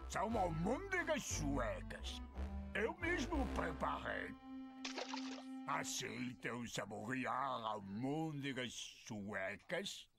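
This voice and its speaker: A man's voice: medium pitch, very animated, extremely slow, vocal fry, full character voice. A man with a Brazilian accent playing a blustering, scheming middle-aged walrus in a cartoon dub, theatrical and full of exclamations. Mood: inviting. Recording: loud music under the voice.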